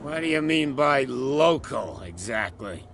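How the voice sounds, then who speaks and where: A man voicing a gruff, suspicious island drunkard in a video game, grumbling about outsiders. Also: mid-range voice, animated, a little slow, fairly bright tone, a little hiss or hum. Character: gruff, idle male island drunk, protective of his saint.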